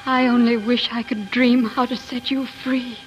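An actress playing an earnest, devoted young maiden in a 1940s radio fairy-tale drama. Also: female voice, fairly high pitch, flat delivery, measured pace, natural voice. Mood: yearning. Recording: dull vintage radio, music behind.

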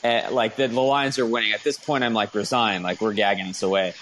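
A man hosting a casual sports chat, recalling things excitedly and correcting himself as he goes. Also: lowish voice, brisk, low-quality recording. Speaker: sports podcast host. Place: remote group call on a live audio app. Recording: faint ambient background noise.

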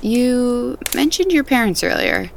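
An actress playing a curious, thoughtful woman with a gentle, probing manner, in naturalistic audio drama dialogue. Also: fairly high pitch, very animated, measured pace, vocal fry, heavily acted. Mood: gently probing. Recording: faint outdoor ambient noise.

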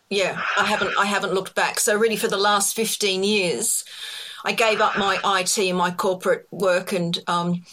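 A woman's voice: medium pitch, sharp tone. A middle-aged woman with an Australian accent, talking casually as an interview guest with many ums and restarts. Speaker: podcast guest, colour psychology expert.

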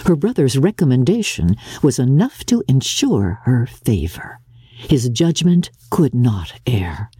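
A woman's voice: very deep voice, mellow, husky, no room sound, studio quality. A woman narrator giving a dramatic reading of classic literature.

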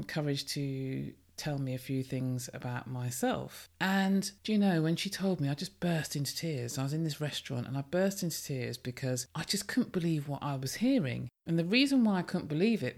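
British woman, middle-aged, deep commanding voice, gravelly creak, emphatic and candid, solo podcast talk. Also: animated, moderate pace, few pauses. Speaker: podcast host. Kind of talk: personal development podcast monologue.

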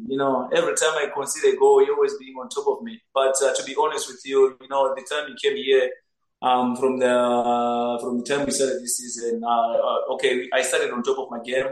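An earnest young goalkeeper originally from Zimbabwe, answering questions in a flat, even voice that barely rises or falls. He stumbles and repeats words as he gathers his thoughts. The sound carries a noticeable room echo. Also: mid-range voice, moderate pace. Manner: earnest, repeats words while searching for them. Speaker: footballer, goalkeeper. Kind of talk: interview answers over a remote link. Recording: echoey room.

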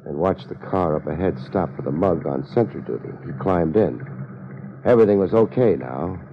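Deep-voiced, wry American private eye. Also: male voice, normal speed, background noise. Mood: wry, deadpan. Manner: wry, deadpan, clipped. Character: laid-back, self-deprecating, tough male private investigator. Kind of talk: 1950s radio detective drama acting and narration. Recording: muffled old radio recording with loud music.

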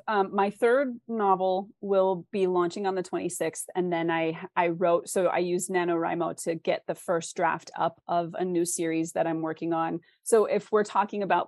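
Candid, encouraging woman, slightly low, dry sound. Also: a little mellow, dry recording. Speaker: interview guest, author and freelance writer. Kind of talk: conversational interview, giving advice.

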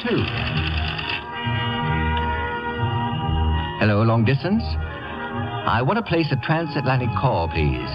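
An actor playing a pompous, scheming, acid-tongued celebrity house guest in an old radio comedy, grandiloquent and given to oily flattery and sarcasm. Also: male voice, medium pitch, measured pace. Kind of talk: 1950s radio comedy acting. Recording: dull old radio recording, music.

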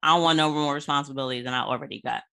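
A woman's voice: low-pitched, fairly even intonation, racing, creaky, crowd noise. A woman hosting a casual chat interview, informal and affirming, with colloquial phrasing. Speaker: podcast host, interviewer.

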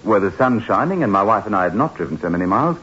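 An actor playing a confident, curious writer husband in a radio drama, hearty and well-spoken. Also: male voice, lowish voice, big pitch swings, very fast, fairly bright tone, slightly rough, exaggerated voice. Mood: eager, confident. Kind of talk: 1960s radio drama acting. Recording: muffled, noisy old radio recording.

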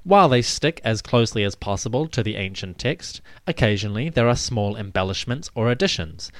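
A man hosting a history podcast, reading scripted narration in a measured way with theatrical emphasis. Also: lowish voice, no echo.

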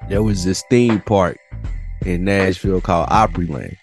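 Deep-voiced man, slangy storyteller. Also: moderate pace, faint music behind. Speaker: podcast co-host. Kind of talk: casual storytelling chat between friends.

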